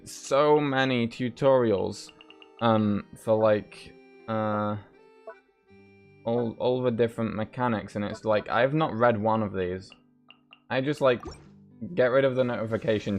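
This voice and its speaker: A man giving casual, sweary gameplay commentary as a streamer. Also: medium pitch. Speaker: game streamer.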